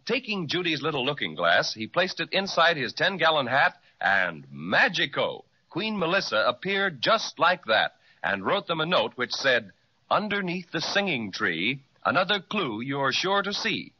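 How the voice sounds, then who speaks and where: A man's voice: on the high side, sharp tone, breathy edge. A man narrating a children's serial in a theatrical, folksy 1930s radio manner. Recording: dull 1937 radio recording.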